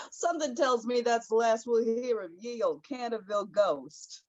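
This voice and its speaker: A woman's voice: fairly high pitch, a little slow, highs cut off, very noisy, lightly acted. A woman playing an eager, boyish son in a radio play. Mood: excited. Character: eager youthful son helping his father, boyish.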